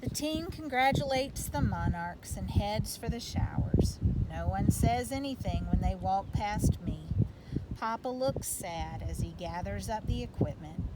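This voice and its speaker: A woman's voice: mid-range voice, lively intonation, unhurried. A woman reading a children's storybook aloud as narrator. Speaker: reader of a children's book. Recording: loud background noise.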